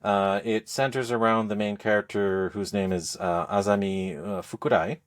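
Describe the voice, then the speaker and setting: A man speaking as a podcast co-host, explaining things in a casual, halting, conversational review style. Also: lowish voice, steady tone. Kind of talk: casual game review chat.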